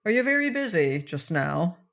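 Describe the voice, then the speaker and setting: A woman's voice: lowish voice, very animated, normal speed, gravelly, fairly bright tone, full character voice. A woman voicing a fussy, self-important little man who complains and wails. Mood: fretful. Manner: whining, fretful, wailing.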